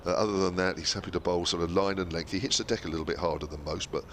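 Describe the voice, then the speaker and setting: A man's voice: sits low, steady tone, rapid-fire, a bit bright, a little hiss or hum. A man commentating cricket on the radio with a cold, losing his voice, chatty and full of fillers and tag questions.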